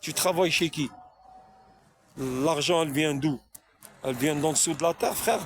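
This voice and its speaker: A man from France speaks in a slightly high voice, delivering an unscripted religious monologue straight to his viewers. He preaches, circles back on his points and keeps asking rhetorical questions. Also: normal speed, a little hiss or hum.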